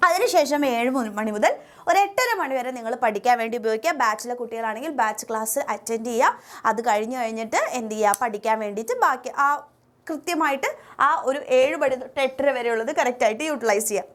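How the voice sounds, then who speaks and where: A woman's voice: on the high side, quick pace, a bit bright. A woman with a Malayali accent teaching school pupils online, giving directive, coaxing instructions with frequent check-in tags.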